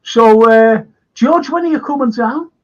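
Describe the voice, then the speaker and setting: A man's voice: extremely high pitch, a little slow, dull audio. An older man hosting a casual fan chat show, rambling and chatty with lots of "you know". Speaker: host.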